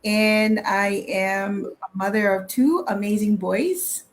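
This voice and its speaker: A woman's voice: medium pitch, slow pace, a little hiss or hum. A woman in her thirties from the Philippines, answering interview questions in a friendly, casual way with many fillers. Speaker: guest.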